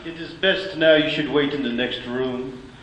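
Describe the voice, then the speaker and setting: A man playing a hushed, calm doctor. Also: mid-range voice, moderate pace, lightly acted. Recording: echoey, faint hiss.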